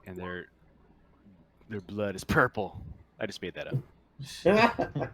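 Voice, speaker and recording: A man's voice: fairly low pitch, big pitch swings, slow, heavy background noise. A man narrating a tabletop roleplaying game as game master in a casual, jokey, improvising manner.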